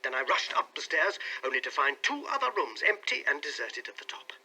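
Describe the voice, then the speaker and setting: An anguished husband, played by a male reader, tells his story with earnest intensity. His voice is very sharp and forceful, roughened by a husky rasp. The delivery is dramatic and suspicious, in classic audiobook style. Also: fairly high pitch, big pitch swings, brisk, exaggerated voice, poor audio. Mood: bitter, hurt. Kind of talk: dramatic audiobook reading in character.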